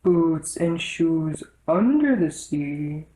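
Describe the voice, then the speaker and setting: An impatient, gruff storybook beast, half solemn and half bossy, acted by a woman reader in a deep voice. The delivery is slow and deliberate, in a room with clear echo. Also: lively intonation, warm tone, slight background noise, exaggerated voice. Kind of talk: storybook character reading. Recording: roomy echo.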